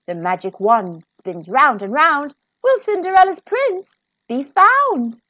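A woman reading a rhyming storybook to children in an expressive, sing-song, carefully enunciated way. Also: high voice, slow pace, no room sound.

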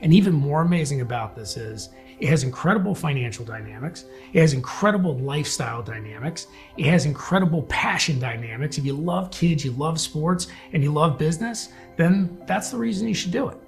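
Energetic guy pitching in promo, voice all over the place, light music. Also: brisk. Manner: energetic, rhetorical questions. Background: soft music.

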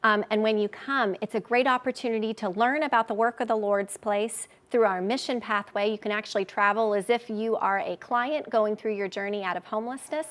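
An eager, upbeat woman in her forties speaks as a guest on a live TV news set. Her pitch sits a little high, and her delivery is energetic and promotional. A lapel mic picks her up cleanly in the studio. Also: a little fast, few pauses. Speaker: charity spokesperson, studio guest.

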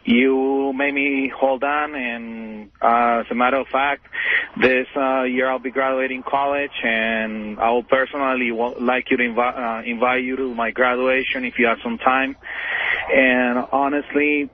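A man's voice: medium pitch, unhurried. A man calling in to a radio show from work, earnest and close to tears. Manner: emotional, earnest, monotone. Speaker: caller. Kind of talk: call-in radio talk. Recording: tinny phone line with faint noise.

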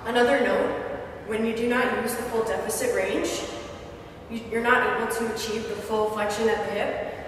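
A young woman, a fitness trainer, calmly explaining exercise technique as she demonstrates. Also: a little high, moderate pace, slight background noise. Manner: clear, steady coaching while demonstrating exercises. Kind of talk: instructional demonstration. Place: large gym with hard walls. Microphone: far camera. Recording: echoey gym from far off.

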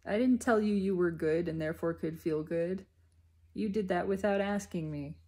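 A woman giving an unscripted, reflective spiritual monologue in deliberate, halting phrases with emphatic repetition. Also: on the low side, dark tone. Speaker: speaker sharing personal spiritual reflections.

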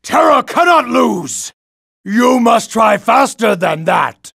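A man voicing a brutish, boastful alien ape-like racer in a video game, gravelly and raspy, taunting in broken English. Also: very high-pitched, big pitch swings, slow, fairly bright tone, no echo, raised voice, full character voice. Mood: aggressive, boastful. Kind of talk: exaggerated cartoon video game voice acting.

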